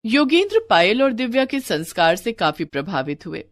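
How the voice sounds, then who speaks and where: A woman's voice: a little high, big pitch swings, moderate pace, dry recording, clean studio sound. A woman narrating a scripted moral story as a storyteller.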